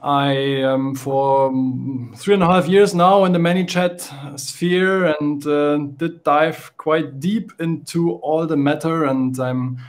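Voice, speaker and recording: A man's voice: on the high side, fairly even intonation, slow pace, few pauses. A man in his thirties with a German accent, a panelist on a video call, speaking hesitant English with many fillers. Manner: hesitant, filler-heavy non-native English. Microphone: video call webcam.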